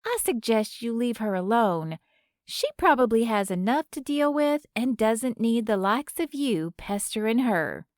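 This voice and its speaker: A woman's voice: fairly high pitch, expressive, unhurried, dry recording, studio quality. A woman voicing a kindly, no-nonsense older Southern woman with a Southern accent. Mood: firm. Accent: American Southern.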